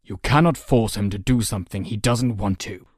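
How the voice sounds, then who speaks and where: A man acts out a storybook farmer father, protective and reluctant. The voice is fairly low and heavy with weariness, firm underneath, recorded dry. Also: little pitch movement, normal speed, a little mellow, slightly rough, no echo. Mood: stern, protective.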